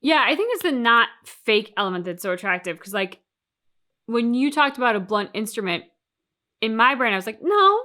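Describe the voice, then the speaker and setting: A woman's voice: a little high, very animated, normal speed, pushed and bright. A woman co-hosting a reaction channel, talking through her thoughts casually and emphatically, with plenty of colloquial turns of phrase.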